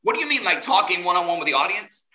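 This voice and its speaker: A man's voice: high voice, big pitch swings, very fast, sharp tone. A middle-aged man hosting a casual chat interview as a podcast host.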